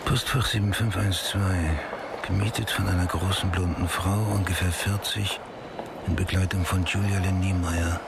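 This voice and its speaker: Very deep, gravelly homicide detective. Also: male voice, steady tone, moderate pace, loud music behind the voice. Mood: brooding. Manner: terse, muttering, blunt. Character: hard-boiled, brooding male homicide detective. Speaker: actor in a radio crime drama. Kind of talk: noir radio play acting.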